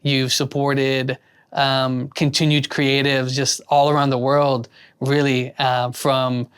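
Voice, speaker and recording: A man's voice: mid-range voice, level tone, slow, fairly bright tone, clear, resonant tone. A man hosting an interview, asking rambling, earnest questions full of restarts. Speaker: interview host. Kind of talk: casual interview.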